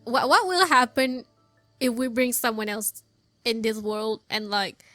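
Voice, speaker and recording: A woman with a fairly high voice and comments casually over a game. She chats haltingly and repeats her phrases, recorded close and dry with faint music underneath. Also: animated, a bit bright, no echo. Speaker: gaming video host.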